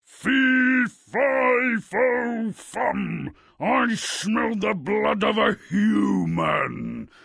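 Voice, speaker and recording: A man voicing a huge, menacing man-eating giant for a video game, booming out threats in a gravelly, raspy voice. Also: animated, extremely slow, fairly bright tone, dry recording, clean studio sound, exaggerated voice. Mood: menacing, bellowing. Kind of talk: video game character acting.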